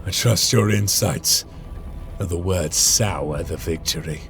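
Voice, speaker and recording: An actor playing a stern space-warrior lieutenant who commands his brothers in battle, with a gravelly, raspy voice. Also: low voice, takes it slowly, over loud music, full character voice. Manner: commanding, barks orders in battle.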